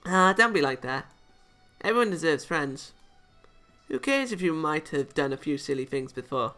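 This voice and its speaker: Man voicing a bright, cheerful anime angel girl. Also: fairly high pitch, expressive, moderate pace, soft background music. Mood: upbeat, reassuring.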